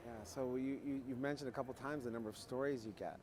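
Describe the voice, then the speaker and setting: A man's voice: medium pitch, fairly even intonation, fast talker, a crowd in the background. A man hosting an interview, asking long, rambling questions. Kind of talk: conversational interview. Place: trade show floor.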